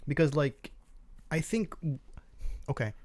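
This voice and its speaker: A man's voice: on the high side, sing-song, takes it slowly, darkish tone, dry recording. Skeptical man in casual discussion, dry sound. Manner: questioning, skeptical, explanatory.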